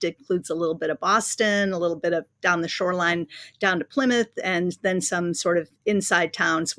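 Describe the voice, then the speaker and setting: A woman's voice: lowish voice, a bit bright, no echo, studio quality. A woman from Massachusetts, a political reporter, talking casually as a podcast guest with a chatty, conversational manner.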